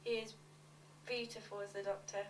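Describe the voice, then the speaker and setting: A woman reading scripted confessions, awkward and deadpan. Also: medium pitch, normal speed. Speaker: reader of fans' confessions. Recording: echoey room, distant mic, faint noise.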